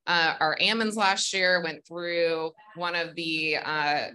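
Bright young Californian woman panelist. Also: fairly low pitch, fairly even intonation, slow pace, smooth. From Northern California. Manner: friendly, explanatory, many ums. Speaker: farmer panelist. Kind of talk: conference panel talk. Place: conference room with audience.

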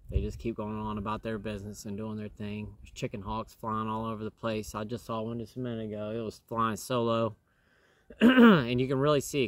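A man in his thirties, slightly hoarse from dust, rambling earnestly to the camera outdoors with many fillers and clearing his throat. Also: lowish voice. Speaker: vlogger. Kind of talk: casual talk to camera. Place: outdoors in a wooded yard. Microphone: camera in front at close range.

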